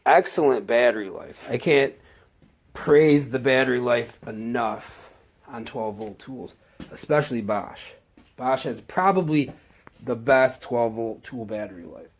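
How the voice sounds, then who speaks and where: A man with a stuffed-up cold, casually showing off his work tools in a slow, halting, enthusiastic way. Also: medium pitch. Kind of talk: casual tool walkthrough.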